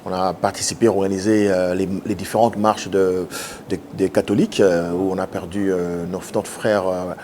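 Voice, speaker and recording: A man. He answers interview questions earnestly, halting often with filler sounds and restarts. A faint noise sits under his speech. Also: deep voice, level tone, dark tone. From Democratic Republic of the Congo. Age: 50s. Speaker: politician guest.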